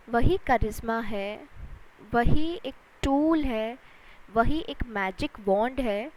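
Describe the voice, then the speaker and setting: A woman with an Indian accent giving an instructional lecture, explaining deliberately and with emphasis as a teacher does. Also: a little high, a little mellow, rough recording. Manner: deliberate, emphatic, explanatory, repeats points in synonyms. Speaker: English lecturer.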